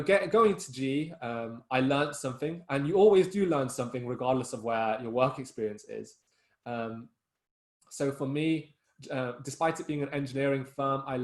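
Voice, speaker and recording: A man's voice: medium pitch, reverberant. A young man giving school students earnest, informal study advice, with many fillers and restarts. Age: young adult.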